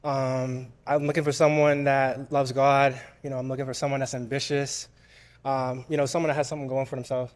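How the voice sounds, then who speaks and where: Smooth, even-toned man in his thirties. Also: medium pitch, a little fast. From Bahamas. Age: 30s. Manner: steady, list-like answers with fillers. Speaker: dating show contestant. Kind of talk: answering interview questions.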